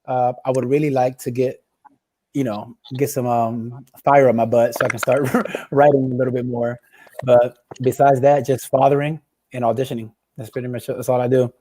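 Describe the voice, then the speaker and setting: A man's voice: mid-range voice, flat delivery, moderate pace, mellow, a little hiss or hum, rough recording. A man, an actor, chatting casually on a panel, rambling with fillers and laughing.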